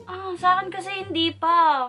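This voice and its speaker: A young woman from the Philippines making a prank phone call for her vlog, telling a halting made-up story with lots of pauses and restarts. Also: very high voice, fairly even intonation, bright, forceful tone. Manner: hesitant, stumbling fake story, then giggly. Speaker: vlogger making prank calls.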